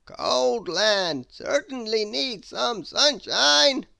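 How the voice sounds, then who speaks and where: A reader voicing a wise old male wizard in a children's story, with grand, theatrical, drawn-out exclamations. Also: medium pitch, big pitch swings, vocal fry, bright, forceful tone, no echo, studio quality, voice pushed up, exaggerated voice. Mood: brisk, grand. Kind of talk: storybook read-aloud character voice.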